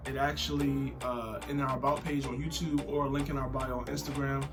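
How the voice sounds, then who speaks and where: A man's voice: medium pitch, level tone, fairly quick, music in the background. An American man hosting a podcast, talking in an upbeat, informal way with casual banter. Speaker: podcast host. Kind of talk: casual show introduction and banter.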